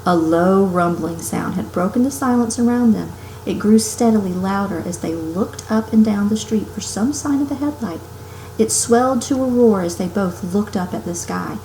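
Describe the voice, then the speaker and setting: Female read-aloud narrator, mid-range voice, expressive storytelling for children, recorded in a reverberant home room. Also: mid-range voice. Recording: roomy home recording with faint noise.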